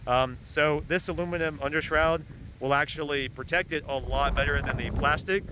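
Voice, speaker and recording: A man with a slightly high voice talks through a casual car-part review, halting and rambling with frequent ums. He is outdoors, and strong gusts batter the mic with loud wind noise. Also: rough recording. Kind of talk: casual product review vlog. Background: gusting wind.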